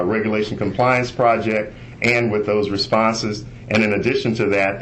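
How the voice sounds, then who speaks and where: A man with a fairly low voice presents formally, like an internal auditor reporting to a committee. His delivery is measured and bureaucratic, and faint noise sits behind him on the conference call. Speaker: internal auditor, inspector general. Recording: noisy conference call recording.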